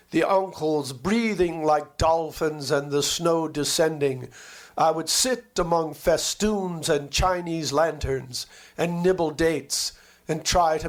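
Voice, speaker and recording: A man reading a literary memoir aloud as a dramatic storyteller, with measured, deliberate and expressive phrasing. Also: fairly high pitch.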